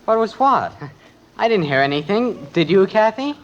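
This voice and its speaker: A man's voice: high-pitched, big pitch swings, moderate pace, dull audio. A man acting a goofy, wisecracking teenage son with gee-whiz false innocence in an old-time radio family comedy. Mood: mock innocent. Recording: old radio broadcast, music behind.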